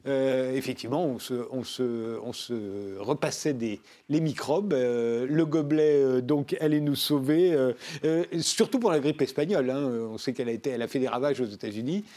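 Mellow-voiced French male talk host. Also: mid-range voice, normal speed, hardly any pauses. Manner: chatty, laughing asides, hesitant restarts. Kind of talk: studio interview.

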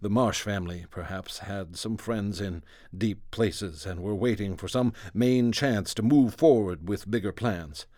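Radio drama professor, played by a man: lowish, calm, guarded, tired delivery, recorded dry. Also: no echo, close to an everyday voice. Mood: guarded, subdued. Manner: measured, guarded. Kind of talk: vintage radio drama acting.

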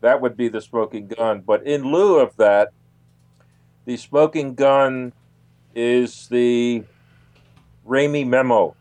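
A man gives unscripted answers in a radio interview. His pitch is average and his delivery halting, as he searches for the next word. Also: a little mellow. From United States. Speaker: guest, UFO researcher.

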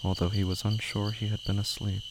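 A man narrating scripted horror slowly, in a hushed, deliberate, ominous manner. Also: on the low side, flat delivery, heavy background noise. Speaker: horror fiction podcast narrator.

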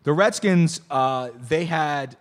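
Hoarse, excitable sports talk host. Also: male voice, fairly high pitch, slow. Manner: excitable, rambling with many restarts. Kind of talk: casual sports chat. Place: bar venue.

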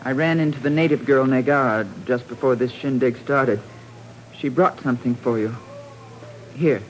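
A woman's voice: very deep voice, normal speed. An actress playing a blunt, cool-headed woman in a 1950s radio spy adventure, with a hard-edged, matter-of-fact delivery. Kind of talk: 1950s radio drama acting. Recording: noisy vintage radio recording.

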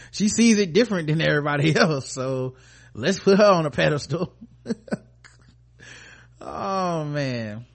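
A man's voice: on the high side, expressive, takes it slowly, gravelly, long pauses. An American man hosting a podcast, giving casual, comedic news commentary in an irreverent, sarcastic, sweary way. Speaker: podcast host.

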